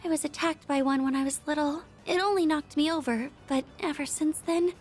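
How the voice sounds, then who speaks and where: A woman playing a timid, earnest high-school girl in an anime-style video game, with a hesitant, gushing way of talking. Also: a little fast, close to an everyday voice. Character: shy, excitable, earnest high-school girl, timid but bold in love. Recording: music behind the voice.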